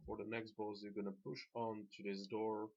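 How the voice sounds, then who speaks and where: A man who is not a native English speaker, narrating an instructional video game guide in a measured, explanatory way. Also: lowish voice, little pitch movement, a little mellow. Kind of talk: instructional gameplay commentary. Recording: dull, lo-fi microphone sound.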